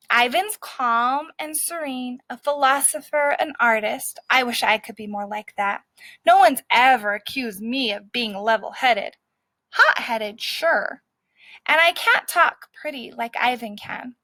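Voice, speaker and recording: A woman's voice: high-pitched, sharp tone, no echo, low-quality recording. A woman voicing a scrappy, streetwise male dog who narrates his own story in a brash, cocky way.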